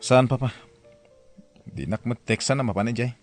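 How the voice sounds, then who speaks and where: A man's voice: medium pitch, moderate pace, close to an everyday voice. A man playing an earnest, troubled grown family man with an Ilocano accent in a radio-style drama. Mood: reluctant. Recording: music behind the voice.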